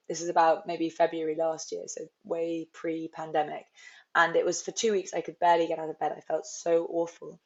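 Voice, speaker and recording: A woman's voice: lowish voice, a little mellow. A young woman from London with a London accent, an author talking reflectively in an interview, often restarting her sentences. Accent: London English. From London, England. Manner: thoughtful, rambling with restarts. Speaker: author guest. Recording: slightly dull, lo-fi audio.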